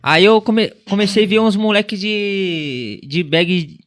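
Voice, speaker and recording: A twenty-year-old man with a São Paulo accent, telling his story as a podcast guest in slangy, animated casual chat. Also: high-pitched, measured pace. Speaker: podcast guest, delivery cyclist.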